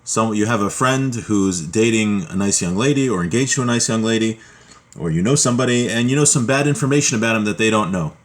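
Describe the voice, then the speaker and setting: Man teaching a religious law class. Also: on the low side. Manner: didactic, with pauses and repeated rephrasing. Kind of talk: reading Hebrew text aloud, translating and explaining informally.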